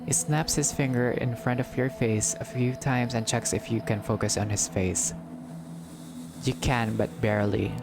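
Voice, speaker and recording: A man's voice: mid-range voice, fairly even intonation, normal speed. A man playing through a visual novel, reading the game text aloud dramatically and reacting casually as he goes. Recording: loud music under the voice. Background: game music.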